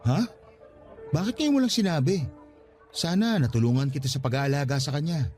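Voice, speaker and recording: A man's voice: a little high, lively intonation, fairly quick, a little mellow, lightly acted. An actor playing an earnest, anxious young father in a Tagalog radio drama, speaking conversationally with many questions. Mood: concerned. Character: earnest, anxious young father proposing to his estranged partner. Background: music bed.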